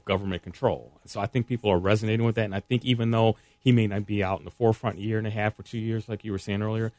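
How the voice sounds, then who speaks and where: Fast-talking low-voiced man. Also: a little mellow, dry recording. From Indiana. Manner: quick, upbeat, agreeable. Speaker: coalition organizer on a panel. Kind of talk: casual political discussion.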